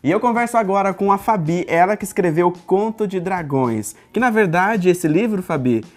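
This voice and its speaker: Female TV host, deep register, relaxed interviewer, faint music bed. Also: normal speed. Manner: conversational, frequent backchannel. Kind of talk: TV studio interview.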